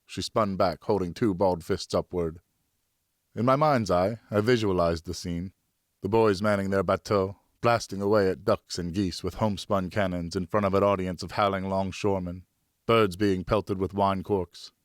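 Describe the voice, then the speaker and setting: A man narrating a novel in the first person as a professional audiobook reader, measured and dramatic. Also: sits low, fairly even intonation, no echo, clean studio sound.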